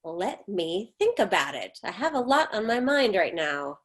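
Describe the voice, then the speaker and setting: A woman's voice: medium pitch, sing-song, a little slow. A woman reading the part of an embittered, defensive middle-aged mother in a stage drama, with emphatic, reproachful delivery. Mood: weary, evasive. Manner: emphatic, reproachful, clipped retorts.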